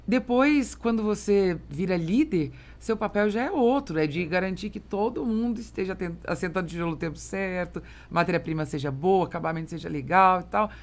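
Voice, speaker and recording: A woman's voice: on the low side, fairly quick, hardly any pauses. A Brazilian woman hosting a conversational business interview, explaining her points in colloquial language. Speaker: podcast host.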